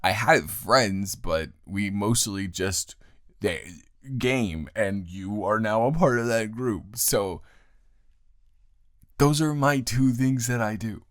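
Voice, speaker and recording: A man improvising an intimate boyfriend roleplay as a teasing, affectionate roommate, talking in a casual, halting way with a low, gravelly voice. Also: dry recording, studio quality. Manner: casual, halting, unscripted delivery. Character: grumpy, teasing, affectionate male roommate turned lover. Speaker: audio roleplay performer.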